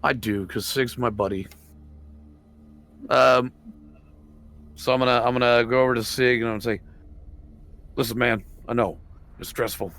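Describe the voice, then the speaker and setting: A man's voice: mid-range voice, fairly even intonation, moderate pace, long pauses, a bit bright. A man talking casually as a player in a tabletop roleplaying game, informal in his way of speaking.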